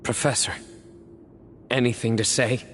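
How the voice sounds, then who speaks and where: An actor playing a serious, perceptive young investigator in a video game. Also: male voice, mid-range voice, fairly even intonation, a little slow, fairly bright tone, a little hiss or hum, close to an everyday voice. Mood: probing. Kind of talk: dramatic video game voice acting.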